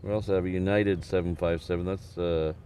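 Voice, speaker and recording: A man hosting a live plane spotting stream outdoors, talking casually with a slightly hoarse voice and frequent restarts. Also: low-pitched, fairly quick. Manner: relaxed, rambling with restarts. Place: outdoors near an airport. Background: aircraft and vehicle noise.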